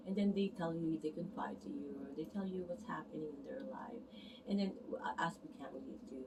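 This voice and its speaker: A woman's voice: low voice, a little fast, vocal fry. A woman massage therapist talking casually and earnestly to camera, rambling and correcting herself as she goes. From Canada immigrant, arrived as a teen. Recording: distant mic, noticeable background noise.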